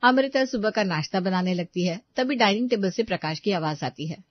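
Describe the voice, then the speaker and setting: A woman's voice: medium pitch, expressive, fairly quick, dry recording, muffled recording. A woman reading scripted narration for a moral story as the storyteller.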